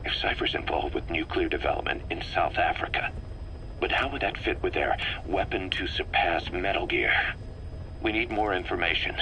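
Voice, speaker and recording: A video game intelligence officer with a low, sharp-edged voice. He briefs his commander in a measured, grave, expository way. There is a light layer of noise on the recording. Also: poor audio. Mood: grave, analytical.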